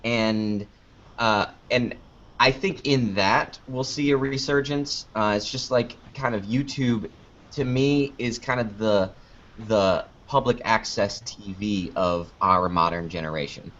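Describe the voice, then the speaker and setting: Male podcast guest, slightly low voice, loose conversational answers that wander and double back, dull recording. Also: fairly bright tone. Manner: rambling, self-interrupting, informal. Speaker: interview guest, podcast creator. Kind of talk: casual conversational interview answers. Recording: dull lo-fi online call audio.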